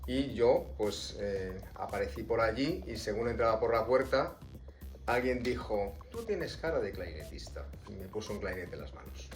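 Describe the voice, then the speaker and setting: A man from Spain, a clarinetist, recalling his early years in an unhurried storytelling way with fillers. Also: lowish voice, lively intonation, soft background music. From Spain (born Pontevedra, raised Madrid). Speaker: clarinetist in a quartet. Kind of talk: interview storytelling.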